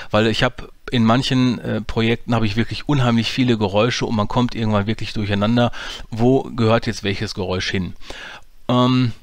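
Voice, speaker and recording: A man's voice: mid-range voice, no echo. Brief: male tutorial host, casual, hesitant, dry recording. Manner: casual, explanatory, frequent hesitations. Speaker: audio drama producer and tutorial host. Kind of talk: screen-recorded software tutorial.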